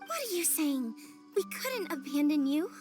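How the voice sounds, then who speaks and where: A woman's voice: sits high, sing-song, measured pace, music in the background. A woman voicing a small yokai creature in a video game, modest, loyal and earnest. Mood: firm.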